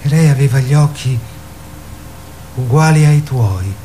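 A man playing a naive, earnest young boy who speaks in a halting, wondering, childlike way in a radio drama. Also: mid-range voice, animated, warm tone, a little hiss or hum, close to an everyday voice. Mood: dreamy, earnest. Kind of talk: theatrical radio drama acting.

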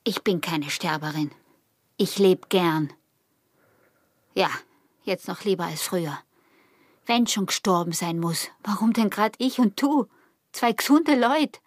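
A breathy young Austrian peasant wife in an intimate radio drama. She is passionate and calculating, with a hushed, intense delivery that slips into whispers, recorded close and dry. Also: lowish voice, a little slow, pauses often, no room sound. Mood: defiant, urgent.

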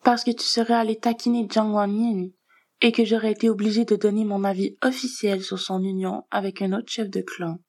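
A woman reading the part of a stoic, terse, quietly reproachful man in a story, formal and deadpan. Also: medium pitch, fairly quick, lightly acted.

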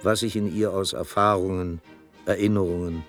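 An actor playing a reflective storyteller narrating a literary radio drama in a measured, dramatic manner. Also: male voice, low voice, steady tone, faint music behind, lightly acted. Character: reflective male storyteller recalling his own tale.

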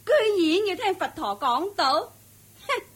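A woman's voice: very high voice, big pitch swings, unhurried, full character voice. Bossy mocking servant woman. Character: bossy plump middle-aged servant woman, boastful and mocking. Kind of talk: Cantonese radio drama acting.

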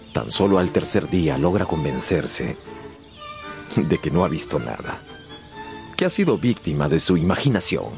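A man with a deep voice narrates a scripted radio novel. His delivery is suspenseful and measured in phrasing, with lively pitch movement, over faint music. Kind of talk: dramatic scripted audiobook narration.

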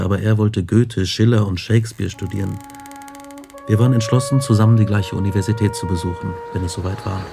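A man's voice: lowish voice, natural voice. An actor playing a driven young scientist recalling his doomed life, earnest and intense, in a German radio play. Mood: sombre, reflective.

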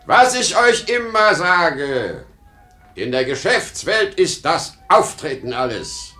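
An aging travelling salesman with a bright, keen voice that leaps up and down. He is boastful and exclamatory, yet insecure underneath, a talkative father in a 1950s radio play. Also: a little high, very animated, slow pace, faint music behind, full character voice. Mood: proud, fatherly. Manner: talkative, exclamatory, swinging from bragging to self-doubt. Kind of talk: 1950s German radio drama acting.

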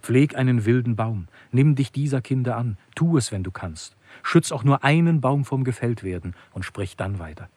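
An actor playing an anguished, bitter man in a literary radio monologue, speaking in a solemn, declamatory and deliberate way. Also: medium pitch, lively intonation, a little mellow, breathy edge, dry recording. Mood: bitter, urgent. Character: anguished man musing bitterly on hope and despair.

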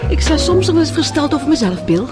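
Lively, teasing, worldly wife. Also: female voice, sits high, quick pace, over loud music. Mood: teasing, confident. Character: self-assured, teasing, worldly wife of a detective. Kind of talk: radio play acting.

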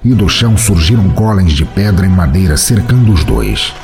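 A man's voice: low voice, animated, moderate pace, music in the background. A man with a Brazilian accent reading a scripted fantasy story as narrator.

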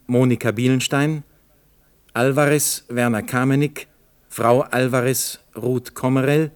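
A male radio announcer with a medium-pitched voice. He reads slowly and formally, in a scripted credits style.

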